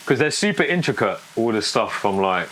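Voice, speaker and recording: A man's voice: fairly low pitch, big pitch swings, a little fast, a bit bright, a little hiss or hum. A man, talking casually and candidly in an informal, matter-of-fact way. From England, born in Yorkshire with family from Pakistan. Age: 20s. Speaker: fashion designer, documentary subject. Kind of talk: casual, unscripted talk to camera.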